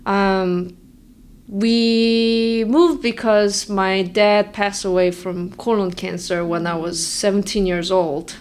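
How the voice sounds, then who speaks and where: A woman's voice: mid-range voice, fairly even intonation, slow pace, a little mellow, smooth. A woman from South Korea, a native Korean speaker, talking conversationally as an interview guest about herself. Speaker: interview guest, general surgeon. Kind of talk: conversational personal account.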